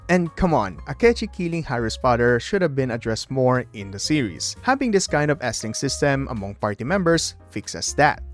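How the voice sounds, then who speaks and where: Lively male video essayist over faint music. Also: medium pitch. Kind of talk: scripted video game commentary. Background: background music.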